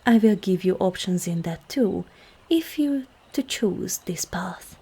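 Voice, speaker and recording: A woman playing a seductive, regal demon queen in an ASMR roleplay, calm and commanding, with slow, formal speech that often drops into breathy whispers. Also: lowish voice, heavily acted. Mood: measured, enticing. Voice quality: breathy, husky.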